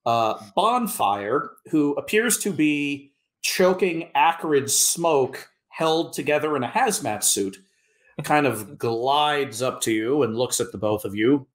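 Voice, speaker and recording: A man running a live-play tabletop game as game master, narrating at an easy pace with pauses and fillers. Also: mid-range voice. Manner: relaxed storyteller with drawn-out pauses and fillers.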